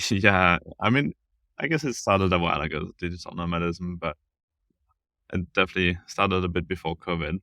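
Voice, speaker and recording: Very deep, gravelly man from France. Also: normal speed, pushed and bright, no room sound, studio quality. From south of France. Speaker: interview guest, village founder. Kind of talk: casual interview conversation.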